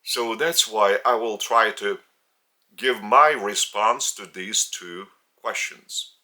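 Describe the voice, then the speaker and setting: A man with a Lithuanian accent delivers a spiritual teaching at home, speaking in English rather than his native Lithuanian. His voice sits a little low yet has a bright, forceful edge. He moves very slowly and deliberately, leaving long pauses between phrases. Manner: slow, deliberate and earnest, with many pauses. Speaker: religious teacher. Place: indoors at home.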